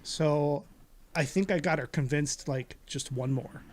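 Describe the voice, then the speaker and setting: Brief: middle-aged male host, slightly high voice, intense and big-voiced in casual chat. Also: a little slow. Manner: intense, loud, talks with big energy. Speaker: podcast host.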